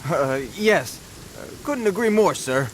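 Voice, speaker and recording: An actor playing a jittery, deferential bandit underling in a video game, hesitant and placating. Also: male voice, high voice, animated, takes it slowly, slight background noise, full character voice, rough recording. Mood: placating, anxious.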